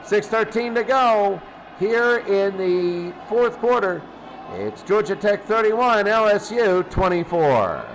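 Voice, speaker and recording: High-pitched man calling football play-by-play. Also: expressive, takes it slowly, noisy background. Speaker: play-by-play commentator for a video game football stream. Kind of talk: sports play-by-play commentary.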